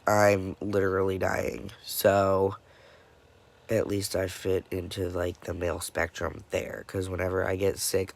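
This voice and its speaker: A young man giving a casual personal update, stuffy and congested from a cold, dead tired, talking haltingly with many pauses and fillers. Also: deep voice. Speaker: vlogger.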